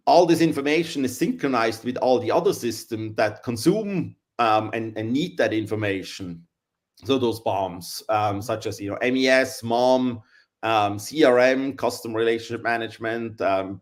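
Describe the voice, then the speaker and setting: A middle-aged man from Switzerland, answering interview questions in a measured, hesitant way with many fillers. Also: mid-range voice. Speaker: guest, engineering consultant.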